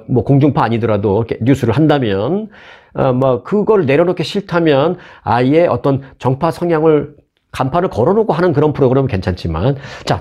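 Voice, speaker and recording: A man from Seoul hosts a news commentary show in a relaxed, talkative way. He explains the stories with asides and rhetorical questions, as if chatting with his viewers. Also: mid-range voice. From Seoul, South Korea.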